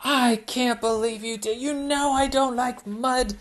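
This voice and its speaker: A woman's voice: on the high side, fairly even intonation, unhurried, pitched above the performer's own voice, lightly acted. Whiny fussy girl, grossed out by mud, a woman putting her on. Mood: huffy. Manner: whiny, huffy. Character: fussy girl who hates mud, easily upset.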